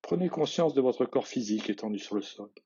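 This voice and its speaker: A man guiding a yoga nidra relaxation, reading measured instructions in a husky voice with soft phrase endings. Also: mid-range voice, lively intonation, lo-fi sound. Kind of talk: guided relaxation meditation, read instructions.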